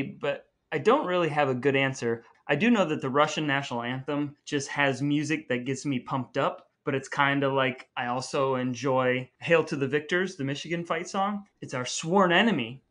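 A man's voice: mid-range voice, a bit bright. A man co-hosting a podcast in casual, bantering conversation. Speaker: podcast co-host. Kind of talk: casual banter between brothers.

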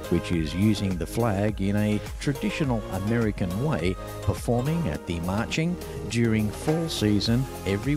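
An Australian man reading scripted narration as presenter of a television feature. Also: lowish voice. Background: music.

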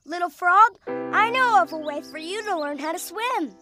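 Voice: very high voice, animated, normal speed, over music. An actor voicing a childlike, chirpy animal in a children's cartoon, speaking in an earnest, simple way. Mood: coaxing.

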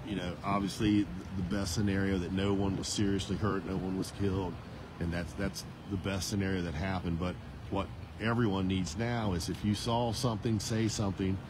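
A veteran male field reporter talking unscripted on scene, halting and repeating words with many fillers, filmed on his own phone in an outdoor parking lot. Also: lowish voice. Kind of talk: live on-scene news report. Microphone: phone held by the reporter. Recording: noisy outdoor phone recording. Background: outdoor traffic noise.

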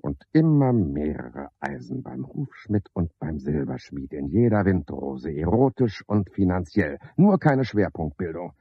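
An actor playing a garrulous, pompous, scheming porter in a radio play, wordy and self-important, speaking in rambling clipped phrases. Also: male voice, low-pitched, very animated, measured pace, gravelly, few pauses, breathy edge, dry recording, exaggerated voice. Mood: scornful, boastful. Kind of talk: radio play monologue, theatrical. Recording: dull 1970s radio play recording.

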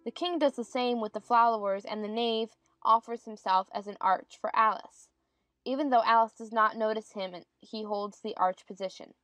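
A woman's voice: a little high, steady tone, normal speed, fairly bright tone, no echo, faint music behind. A woman giving scripted narration of stage directions for a dramatized audiobook.

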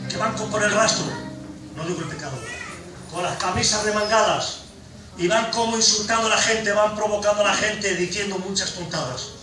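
A middle-aged man in Spain, preaching a sermon to a congregation with fervor, homely diminutives and humor. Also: high-pitched. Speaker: pastor. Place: church hall with a congregation. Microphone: handheld mic, filmed from the pews. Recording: echoey hall from far off with music.

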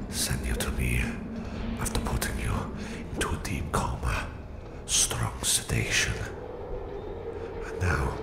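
A man playing a menacing, domineering Soviet psychic supervillain with a Russian accent in a slow, condescending ASMR roleplay, his voice whispery and gravelly. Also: extremely low pitch, roomy sound, heavily acted. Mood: calm menace.

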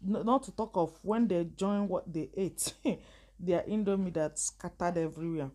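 A woman's voice: fairly low pitch, moderate pace, dark tone. Chatty woman narrating chores. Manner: chatty, rambling, laughs often. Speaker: vlogger. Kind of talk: casual cleaning narration.

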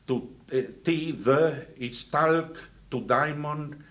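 A man, from Venezuela and raised in Guatemala, recalling his student years in a halting way with many fillers and restarts. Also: a little high, fairly bright tone. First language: Spanish. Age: 70s. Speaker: alumnus interviewee. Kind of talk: reminiscing interview. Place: indoors, plain backdrop.

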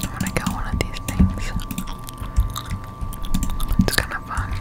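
A woman's voice: measured pace, loud music behind the voice. A young woman whispering breathily up close to the mic, giving rambling ASMR gameplay commentary as she muses to herself. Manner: rambling, musing aloud to herself. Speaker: gameplay streamer. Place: indoors at a home computer. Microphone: close-up desktop condenser mic.

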